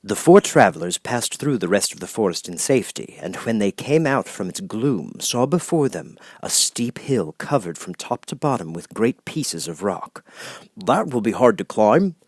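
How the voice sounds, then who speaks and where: A man reading a children's story aloud as an expressive audiobook narrator. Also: fairly low pitch, normal speed, hardly any pauses, breathy edge, no room sound, studio quality.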